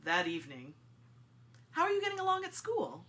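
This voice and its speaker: A woman's voice: very high-pitched, wildly swooping pitch, a little fast, a bit bright, heavily acted. A woman playing a cheerful, nosy, teasing mother in a dramatic comic reading. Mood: teasing, curious.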